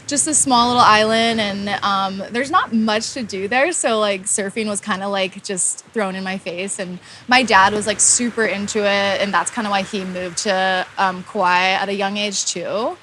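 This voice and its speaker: A woman's voice: mid-range voice, steady tone, moderate pace, few pauses, sharp tone, a little hiss or hum. A woman from Hawaii in her thirties, a professional surfer, chatting casually in an interview and leaning on lots of "like" fillers. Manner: laid-back, lots of "like" fillers. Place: outdoors on a beach. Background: music.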